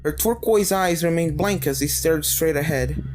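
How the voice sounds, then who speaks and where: A woman's voice: low voice, moderate pace, a little mellow, soft background music. A woman reading a scripted story aloud as a dramatic narrator, telling the tale in character-driven prose. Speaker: fan fiction narrator.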